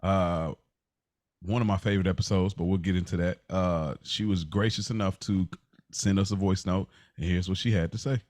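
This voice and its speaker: American dude cohosting a podcast, lowish voice, no room echo, just chatting. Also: male voice, fairly even intonation, normal speed, darkish tone, clean studio sound. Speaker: podcast co-host and live producer. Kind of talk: casual podcast chat.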